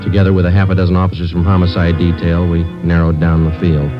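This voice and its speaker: An actor playing a terse, weary police sergeant, narrating a radio crime drama in a clipped, deadpan, matter-of-fact way. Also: male voice, low-pitched, fast talker, close to an everyday voice. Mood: grave, factual. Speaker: narrator and lead detective. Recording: dull vintage radio recording with music.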